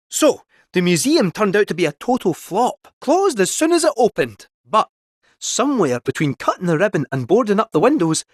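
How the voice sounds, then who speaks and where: Scottish robot cat, game character, jaunty, theatrical, lively swoops, dry close sound. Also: male voice, high voice, no room sound. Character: chatty scheming robot cat, male. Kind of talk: video game voice acting.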